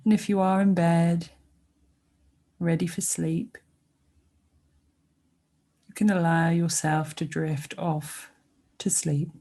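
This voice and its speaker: Slow, mellow woman guiding sleep meditation. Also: on the low side, little pitch movement, vocal fry. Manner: gentle, unhurried, pausing between short phrases. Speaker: meditation guide. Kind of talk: guided sleep meditation, soothing scripted narration.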